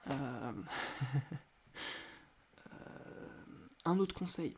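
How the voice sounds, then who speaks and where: A man hosting a casual solo podcast, talking quietly at home with a stuffy nose from a cold, hesitating often with filler sounds. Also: medium pitch, extremely slow, slight background noise. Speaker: podcast host. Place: indoors at home at night.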